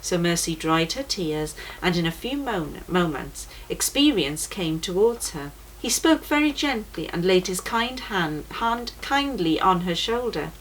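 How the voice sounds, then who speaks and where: A woman's voice: on the low side, expressive, a little slow, few pauses, fairly bright tone. Woman reading a children's story, noisy recording. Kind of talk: scripted story read aloud for children. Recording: noticeable background noise.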